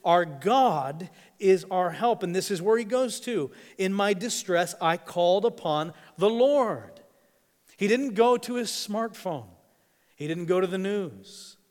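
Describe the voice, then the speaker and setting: A man who grew up in East Africa, preaching a sermon, swinging between hushed and emphatic delivery with dramatic pauses. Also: high voice. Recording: long hall reverb.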